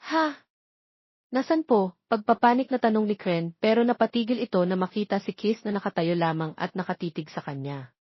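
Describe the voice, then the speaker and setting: A woman's voice: mid-range voice, quick pace, no echo, lo-fi sound. A Filipino woman narrating a scripted romance story.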